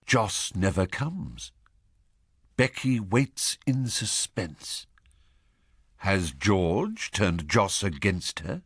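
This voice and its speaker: An actor playing a wry, knowing narrator of a radio period drama, husky, deliberate and suspenseful in delivery. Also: male voice, low voice, sing-song, a bit bright, no echo, clean studio sound, exaggerated voice.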